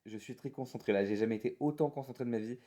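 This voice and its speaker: A man's voice: medium pitch, very fast, dark tone. A young man co-hosting a casual podcast, goofy and self-mocking, laughing easily at himself. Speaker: podcast co-host. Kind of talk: casual chat.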